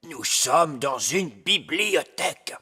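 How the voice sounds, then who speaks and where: An actor voicing a solemn old wise elf in a children's cartoon, husky and grave in his manner. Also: on the high side, expressive, full character voice. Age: older. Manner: slow, grave, hushed admonishing.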